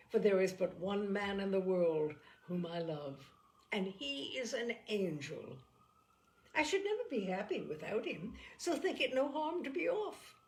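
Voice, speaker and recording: A woman voicing a giddy, boastful, thoughtless young girl, playful and exclaiming, in a storybook reading. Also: medium pitch.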